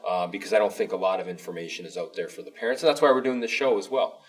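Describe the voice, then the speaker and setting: A man's voice: deep voice, animated, racing, recorded from a distance. A man speaking as a school superintendent in an informal panel discussion, explaining emphatically in a rambling way. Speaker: school superintendent co-hosting a district show.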